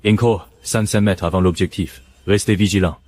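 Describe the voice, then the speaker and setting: A man voicing a steady, cautious squad leader in a French-dubbed animated series. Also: deep voice, moderate pace, dry recording, close to an everyday voice. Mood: watchful. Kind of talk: scripted animated series dub.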